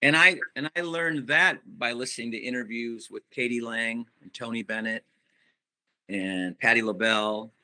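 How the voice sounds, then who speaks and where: A middle-aged man, a musician guest on a podcast, talking reflectively in casual interview chat with many pauses and restarts. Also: mid-range voice, bright, forceful tone, low-quality recording.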